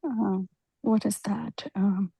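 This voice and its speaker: A woman's voice: mid-range voice, fairly even intonation, slow, dark tone, husky, no room sound. Hesitant female student in a dry recording. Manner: hesitant, tentative, sounding out words. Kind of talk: reading aloud and translating in a lesson. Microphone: online video call.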